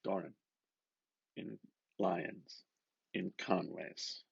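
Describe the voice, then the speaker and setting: Husky, slow male literary reader. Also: sits low, no room sound, dull audio. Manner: dramatic, musing, dropping into hushed murmurs. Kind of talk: expressive literary audiobook narration.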